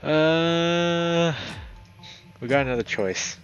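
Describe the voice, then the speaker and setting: A man streaming a let's play, reading a visual novel aloud in a dramatic, excitable way, sometimes stumbling over words. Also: a little high, drawn-out words, highs cut off. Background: background music.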